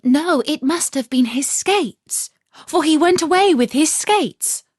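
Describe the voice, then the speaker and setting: A woman acts out an eager little storybook girl, loyal and hopeful. Her voice is raised high and swings freely as she exclaims in an earnest, excitable way. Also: slow pace, no echo, clean studio sound. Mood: delighted.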